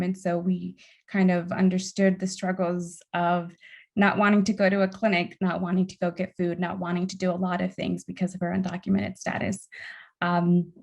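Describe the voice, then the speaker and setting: A woman's voice: on the low side, gravelly, a little mellow. A young woman whose first language is Spanish, speaking earnestly as a panelist at a community event. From United States. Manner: earnest, with frequent "um" and "uh". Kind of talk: panel talk.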